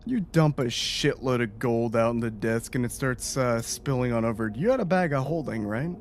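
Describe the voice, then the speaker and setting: A man's voice: medium pitch, normal speed, slight background noise. A man working as game master, casually narrating events in a tabletop game.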